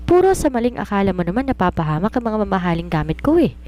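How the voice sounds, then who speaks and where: A woman's voice: medium pitch, big pitch swings, fast talker, dark tone, lightly acted. A woman voicing a hot-tempered young woman writer in a story, scolding and exclaiming in exasperation. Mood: angry.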